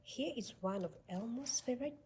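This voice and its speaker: A woman reading a children's storybook aloud with expressive, sing-song delivery aimed at young children. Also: a little high, moderate pace, a little hiss or hum. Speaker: storybook reader.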